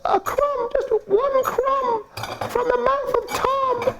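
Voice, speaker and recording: A man voicing a little female bird begging for crumbs in drawn-out pleas. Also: very high voice, steady tone, vocal fry, heavy background noise, pitched above the performer's own voice, heavily acted. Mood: pleading. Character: tiny pleading female bird.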